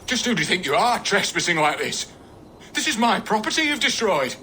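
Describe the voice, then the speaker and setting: Bright, high-pitched indignant priest. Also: male voice, sing-song, brisk, a little hiss or hum, heavily acted. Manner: indignant, querulous. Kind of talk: video game dramatic acting.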